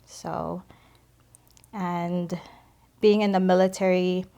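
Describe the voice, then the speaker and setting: A woman from the Philippines, middle-aged, sharing a personal faith testimony as a podcast guest, speaking hesitantly with many pauses. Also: lowish voice, little pitch movement, creaky, warm tone, smooth.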